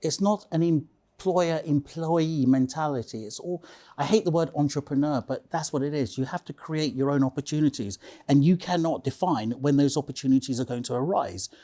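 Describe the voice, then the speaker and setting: A man's voice: on the high side, normal speed, mellow. A man speaking casually as an interview guest, telling anecdotes emphatically, repeating phrases and checking in with the listener. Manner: emphatic, repeats phrases, tags statements with "okay?".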